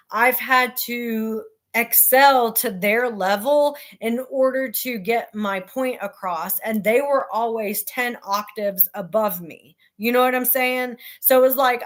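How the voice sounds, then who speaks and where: A woman talks slowly and deliberately, her everyday voice carrying loudly even when she means to speak softly. Her tone sits a little high, and she pauses often with ums and likes as she shares personal thoughts in a casual chat. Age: adult with children. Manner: loud voice by habit, talks slowly and earnestly with fillers. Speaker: host. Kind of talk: casual talk about her book.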